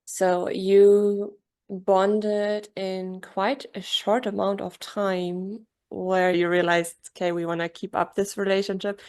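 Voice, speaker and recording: A woman hosting a podcast, giving the introduction and asking long, carefully framed questions in a friendly, clear way. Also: on the low side, a little mellow. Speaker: podcast host.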